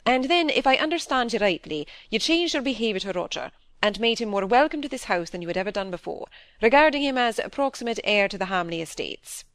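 A woman reading an audiobook, playing a stern middle-aged husband who questions gravely, with clipped insistence. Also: on the high side, lively intonation, fast, a bit bright, dry recording, voice pushed up. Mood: cold, exasperated.

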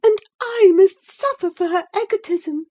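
A woman's voice: very high voice, unhurried, mellow, no echo, pitched above the performer's own voice. A woman voicing an infatuated, indignant girl in a storybook reading, protesting in a passionate, plaintive way. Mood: tearful, wounded.

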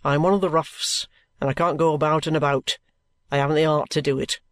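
A composed ex-soldier, read by a male audiobook narrator, speaking out bluntly and steadily with no fuss. The voice sits a little high for a man. The recording is close and dry. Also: brisk, no echo, natural voice. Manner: blunt, steady, plain-spoken. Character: blunt, composed ex-soldier, a plain-spoken trooper.

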